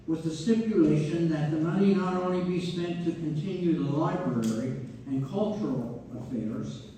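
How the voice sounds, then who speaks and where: A man's voice: on the high side, mellow. A man playing a genial stage narrator, addressing townspeople in a scripted read-through. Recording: reverberant hall from far off, faint noise.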